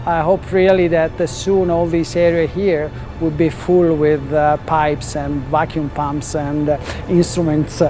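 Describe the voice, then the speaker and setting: A scientist explaining a synchrotron in simple terms, pausing with fillers, in a documentary interview. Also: male voice, fairly high pitch, level tone, warm tone, faint music behind.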